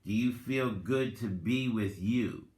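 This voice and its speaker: A man giving a dramatic reading with spoken commentary, deliberate and halting, in short phrases. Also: lowish voice, darkish tone. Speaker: reader, content creator. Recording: roomy, echoing voice recording.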